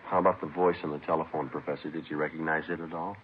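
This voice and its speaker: A man acting a terse, businesslike police detective narrating his case in deadpan, clipped American radio style. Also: very deep voice, quick pace, a little hiss or hum. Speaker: lead actor and narrator. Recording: tinny vintage radio recording with music.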